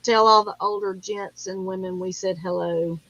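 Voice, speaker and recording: A woman hosts a relaxed, informal live chat. Her voice sits at an average pitch for a woman, and she speaks conversationally, as to regular viewers and guests. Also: normal speed, highs cut off. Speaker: live stream host. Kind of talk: casual morning live-stream chat.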